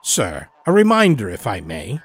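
An elderly doctor of a secretive clinic, acted out by a male storyteller, speaks with measured formality and grave weight. His delivery moves widely in pitch and has a husky edge, suited to a sci-fi horror tale. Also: wildly swooping pitch, exaggerated voice. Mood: grave, warning. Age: older. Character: elderly evasive doctor running a secret time-travel clinic. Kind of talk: dramatic audio horror storytelling.

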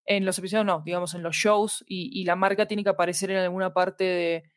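A woman's voice: lowish voice, steady tone, a little fast, no echo, clean studio sound. A woman explains her work conversationally in an interview, reflectively searching for precise words. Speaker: podcast fiction producer, interview guest.

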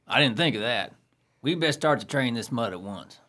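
Male actor as burglar accomplice, blunt, sceptical, medium pitch, varied intonation, radio play. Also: animated, normal speed, sharp tone.

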